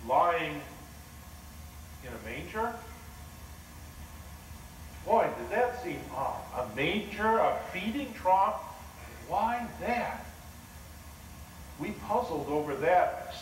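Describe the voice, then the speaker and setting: A man, a pastor, playing a humble shepherd and telling in first person how he saw the angels, in a slow dramatic sermon monologue. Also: high voice, slight background noise. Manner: slow, storytelling, wondering. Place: large church sanctuary. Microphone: far video camera. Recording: roomy echoing church, distant camera.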